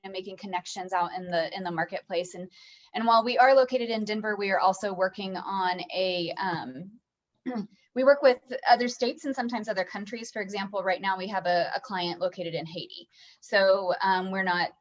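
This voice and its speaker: A woman's voice: mid-range voice, moderate pace, lo-fi sound. A woman, a communications firm vice president, introducing herself casually on a video call, rambling with fillers and restarts.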